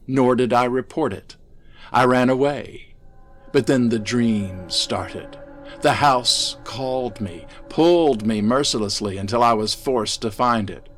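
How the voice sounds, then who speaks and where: A man voicing an insane, haunted asylum inmate in a gothic horror story, fervent and ominous, swinging from hushed to ranting. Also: on the low side. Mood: fervent, tormented.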